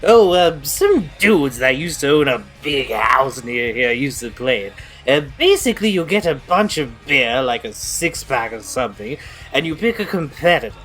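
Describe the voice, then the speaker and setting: A man's voice: medium pitch, sing-song, moderate pace, a bit bright, soft background music. A man playing a drunk, eager college partygoer in an audio drama, rambling and hesitant. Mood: proud, rambling. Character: really drunk, eager college-age male partygoer explaining games.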